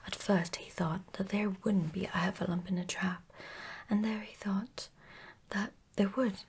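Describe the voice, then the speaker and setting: A woman reading a children's bedtime story in a hushed, gentle, unhurried ASMR whisper. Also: medium pitch, steady tone, slightly rough.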